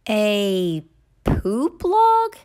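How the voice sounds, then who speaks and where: A woman's voice: medium pitch, wildly swooping pitch, extremely slow, darkish tone, exaggerated voice. A woman voicing a curious, doubtful young child in a picture book. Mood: puzzled.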